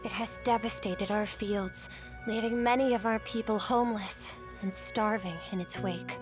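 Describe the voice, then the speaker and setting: A woman's voice: medium pitch, fairly even intonation, moderate pace, close to an everyday voice. A woman voicing an earnest, gracious young noblewoman in a video game cutscene, speaking in a formal, articulate way. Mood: grave, sorrowful. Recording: music behind the voice.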